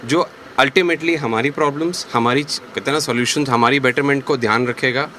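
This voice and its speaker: A man's voice: mid-range voice, brisk, sharp tone. A man answering a casual street interview in a market, polite and noncommittal, mixing English words into his speech. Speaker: local market voter being interviewed. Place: outdoors in a city market. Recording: faint outdoor traffic noise.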